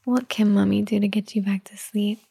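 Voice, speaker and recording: A woman doing a whispered ASMR roleplay, talking softly and soothingly in a gentle whisper. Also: medium pitch, steady tone, a little fast, creaky, breathy edge. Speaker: ASMR performer.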